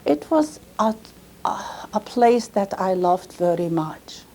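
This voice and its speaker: A woman playing an earnest Swiss immigrant grandmother in a reminiscing stage monologue, with a Swiss German accent and careful, halting, simple English. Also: mid-range voice, animated, warm tone. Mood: fond, reminiscing. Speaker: storyteller performing a reenactment. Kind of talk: dramatized first-person monologue.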